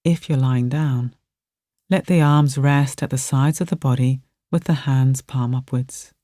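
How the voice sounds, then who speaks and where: A meditation guide narrating a relaxation exercise in a gentle, soothing manner with soft, spacious phrasing. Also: mid-range voice, fairly even intonation, unhurried, no echo, clean studio sound.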